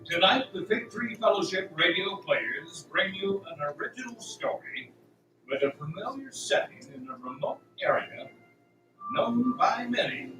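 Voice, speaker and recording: A man's voice: high-pitched, expressive. Roomy-sounding male radio-play narrator over music. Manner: theatrical, deliberate, suspenseful. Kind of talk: scripted dramatic storytelling narration. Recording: roomy, distant, music behind.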